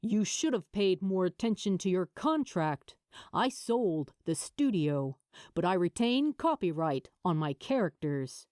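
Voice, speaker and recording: A woman voicing a calm, firm, quietly menacing male creator in a story. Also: fairly low pitch, measured pace, no room sound, studio quality. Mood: calm resolve.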